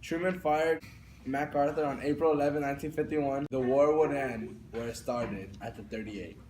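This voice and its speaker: A young man delivers a scripted news report at a middle pitch. He sounds some way from the microphone, with clear noise around him. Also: fairly even intonation, moderate pace, darkish tone. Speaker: news correspondent. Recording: distant mic with clear background noise.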